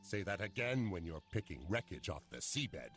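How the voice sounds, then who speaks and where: A man's voice: deep voice, sing-song, moderate pace, a bit bright, faint music behind. A man playing a blunt, sardonic seasoned sailor in a video game cutscene. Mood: gruff warning. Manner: blunt, wry, colourful. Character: gruff, salty old-hand sailor, blunt and sardonic.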